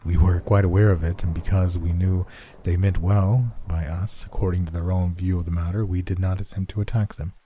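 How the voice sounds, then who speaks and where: A man voicing a small alien creature that speaks by thought, formal and even. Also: low-pitched, a little fast, slightly rough. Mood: calm.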